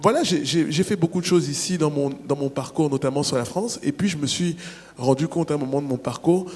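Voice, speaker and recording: A man from Cameroon, an author on a public panel, answering questions in a measured, explanatory way with frequent restarts. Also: on the high side, a little mellow. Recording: long reverb from a large hall.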